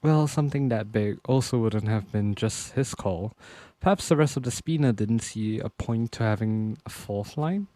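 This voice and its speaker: A woman streaming a game playthrough, reacting casually and softly to the dialogue. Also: extremely low pitch, animated, moderate pace, few pauses, no room sound. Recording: faint game music behind the voice.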